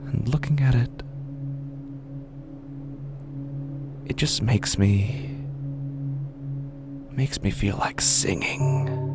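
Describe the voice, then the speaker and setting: A man playing a menacing, self-pitying street busker in a horror audio drama monologue, brooding and halting, his breathy voice often sinking toward a whisper. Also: medium pitch, close to an everyday voice. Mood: brooding, bitter. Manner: slow, halting, brooding delivery with drawn-out pauses. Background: music under the voice.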